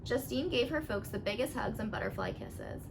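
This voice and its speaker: A woman with a fairly high voice reads a picture book aloud to children. Her delivery is expressive storytelling, and the recording has clear background noise. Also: normal speed. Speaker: storybook reader.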